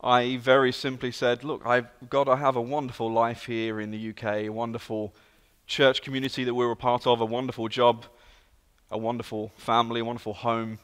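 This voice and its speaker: British guest preacher, 30s, London accent, earnest storyteller, echoing church hall. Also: male voice, mid-range voice, brisk, clean tone. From England. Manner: earnest, storytelling, lively emphasis. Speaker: guest speaker. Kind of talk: sermon. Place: large church sanctuary. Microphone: handheld and stage mic. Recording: long hall reverb.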